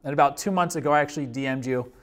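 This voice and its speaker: A man's voice: medium pitch, rapid-fire. Polite man hosting a podcast. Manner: polite, many ums and uhs. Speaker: podcast host, police officer. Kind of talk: casual podcast interview.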